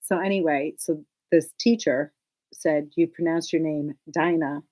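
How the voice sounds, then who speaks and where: A woman's voice: low-pitched, steady tone, unhurried, warm tone. A woman whose first language is Hebrew, co-hosting a casual knitting podcast and chatting with a laugh. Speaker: podcast co-host. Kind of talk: casual chat.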